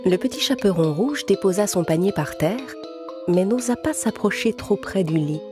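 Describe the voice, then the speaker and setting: A woman's voice: medium pitch, expressive, moderate pace, over music. A woman narrator reading a scripted fairy tale for children.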